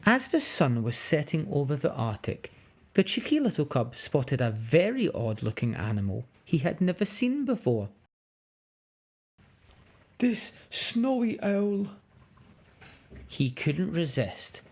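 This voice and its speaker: A woman reading a picture book aloud to young children in an expressive, dramatic way. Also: a bass voice, normal speed. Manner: expressive, dramatic, with emphatic sound words.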